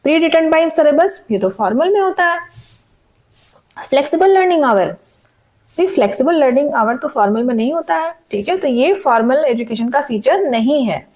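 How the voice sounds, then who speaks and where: A woman teacher with a somewhat high voice walks students through exam questions, mixing languages and pausing to check they follow. Her throat is sore, so her voice cracks now and then. She reads each question slowly before explaining it. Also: lively intonation, darkish tone, low-quality recording. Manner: frequent "theek hai" checks, reads questions slowly in English. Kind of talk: exam-prep lesson mixing Hindi and English.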